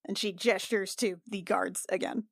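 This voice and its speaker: A woman's voice: mid-range voice, fairly even intonation, moderate pace, creaky, no echo, studio quality. A woman casually improvising as a player in a tabletop game, with an informal, conversational manner.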